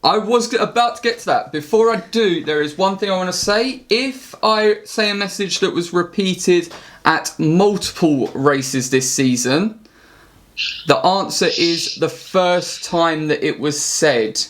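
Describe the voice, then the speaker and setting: A man's voice: sits high, unhurried, a bit bright. A man casually hosting an online quiz, addressing the contestants on a group call. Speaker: quiz host. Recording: clearly noisy mic with clicks.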